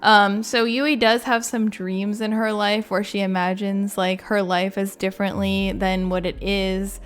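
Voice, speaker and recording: A woman's voice: medium pitch, little pitch movement, a little slow, smooth. A woman giving chatty, informal commentary as a video creator, saying "like" often. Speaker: video game content creator. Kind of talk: casual commentary ranking game cutscenes.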